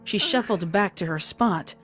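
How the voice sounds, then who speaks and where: A woman's voice: mid-range voice, lively intonation, a little slow, fairly bright tone, faint music behind. A woman reading a scripted story as a dramatic narrator.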